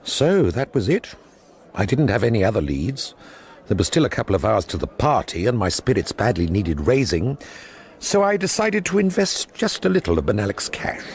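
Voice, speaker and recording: A man's voice: on the low side, sing-song, normal speed. An actor playing a rueful, sardonic, boozy painter who pines for his wife, in a radio drama. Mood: wry narration. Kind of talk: radio drama acting with first-person narration.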